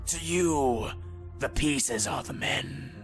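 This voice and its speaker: A man voicing a cold, calculating, philosophical inquisitor in a sci-fi audio story, whispery and ominous, with slow deliberate phrasing. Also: mid-range voice, lightly acted. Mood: quietly menacing. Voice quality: whispery, breathy. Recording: voice over prominent music.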